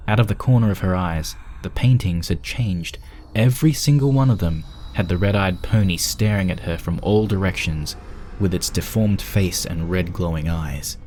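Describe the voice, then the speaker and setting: A man's voice: deep voice, a little mellow, slight background noise. A man reading a scripted horror story as its narrator, in a dramatic storytelling style.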